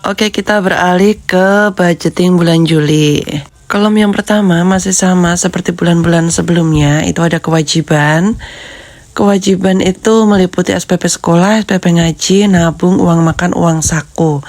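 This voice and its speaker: A woman vlogger with a hoarse, congested voice from a cold, chatting with viewers as friends and steadily reading out figures. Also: on the low side, fairly even intonation, normal speed, a little mellow, no echo. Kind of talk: casual budgeting vlog talk.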